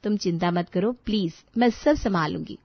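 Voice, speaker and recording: A woman voicing a pampered, spirited young daughter-in-law in a story, animated and questioning. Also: on the low side, moderate pace, vocal fry, dry recording, dull audio. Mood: confident, determined.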